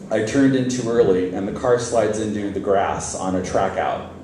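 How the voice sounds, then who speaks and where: Low-voiced man reading in a very echoey hall. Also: moderate pace, a little mellow. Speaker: reader at a literary event. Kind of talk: reading a first-person story aloud on stage. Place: live reading event, on stage. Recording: very echoey hall, distant mic, faint noise.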